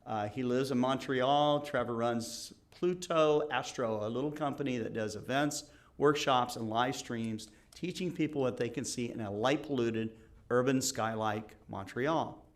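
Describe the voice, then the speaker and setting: Host, man, 60s, rambling casual talker, mid pitch. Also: unhurried. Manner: rambling, laughs easily, many fillers. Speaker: show host. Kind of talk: casual chat.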